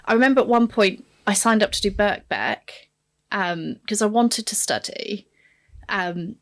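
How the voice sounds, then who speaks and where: A woman's voice: medium pitch, lively intonation, moderate pace. A woman in her forties from Kenya, a podcast guest candidly reflecting on her career path. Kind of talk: podcast interview, telling her life story.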